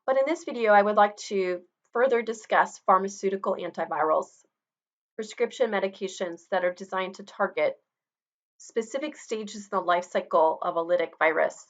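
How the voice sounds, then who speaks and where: A woman's voice: mid-range voice, moderate pace. A middle-aged woman teacher giving a recorded online lecture, explaining in a conversational way with personal asides. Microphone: webcam video recording.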